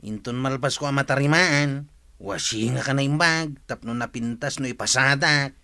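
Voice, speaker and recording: A man's voice: medium pitch, normal speed, bright, forceful tone. A man acting in an Ilocano radio drama, playing a lazy, sulky tricycle driver. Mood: grumbling. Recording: clear vehicle noise and clicks.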